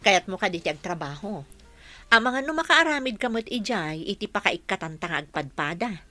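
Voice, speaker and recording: A woman's voice: mid-range voice, lively intonation, moderate pace, bright, forceful tone, no room sound, soft background music. Caring big sister, young Filipino working woman, mid-range voice, dry studio sound female actor, radio play. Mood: concerned. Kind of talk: radio drama dialogue.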